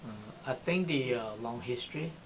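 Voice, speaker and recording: A man giving a halting voice-over translation, with many "uh" pauses. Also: animated. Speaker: voice-over interpreter. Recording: background noise and rumble.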